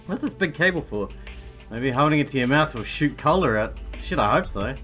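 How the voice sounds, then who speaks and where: A man's voice: medium pitch, animated, fairly quick, bright, forceful tone. Guy gamer muttering through a playthrough, mid voice, sweary, faint game music. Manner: sweary, thinking aloud. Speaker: gaming streamer. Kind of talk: casual gameplay commentary.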